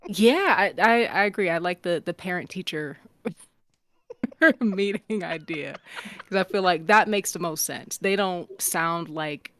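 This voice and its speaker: A woman's voice: lowish voice, animated, measured pace, a bit bright, dry recording. A woman chatting casually in a group as a panelist, with a playful, giggly manner.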